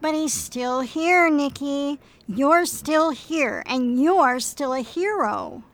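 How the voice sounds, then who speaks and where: A woman playing a gentle, earnest female android detective partner in a radio drama, speaking in a measured way. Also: sits high, expressive, dry recording, full character voice.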